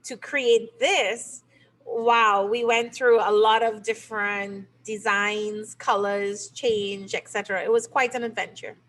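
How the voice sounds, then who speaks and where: A woman's voice: medium pitch, fairly even intonation, slow, a bit bright, rough recording. A middle-aged woman from Trinidad and Tobago, a teacher and game creator, explaining her work in an interview. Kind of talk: interview answers.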